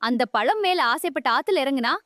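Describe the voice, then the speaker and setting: A woman's voice: high voice, expressive, a little fast, fairly bright tone. A woman voicing a sensible, caring young fawn in a children's cartoon, speaking in an earnest, cautioning way. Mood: worried, cautioning. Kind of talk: children's animated moral story dialogue.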